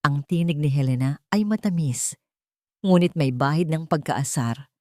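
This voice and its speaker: Low-voiced woman narrating a drama. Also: normal speed, darkish tone, no room sound, clean studio sound. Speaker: audio story narrator. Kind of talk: scripted dramatic first-person narration.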